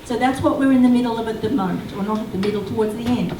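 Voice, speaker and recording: A woman's voice: on the high side, rapid-fire. Woman answering at a public forum. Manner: explanatory, restarts mid-sentence. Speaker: panelist for a residents' group. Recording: echoey hall, distant mic, background noise.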